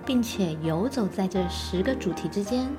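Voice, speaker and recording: A woman's voice: mid-range voice, lively intonation, moderate pace. A woman narrator reading a scripted children's story expressively. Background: music.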